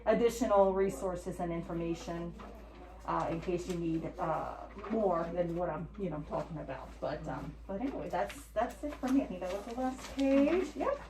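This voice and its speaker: A woman giving an informal presentation in a loose, halting way with many fillers. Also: on the low side, roomy sound, poor audio.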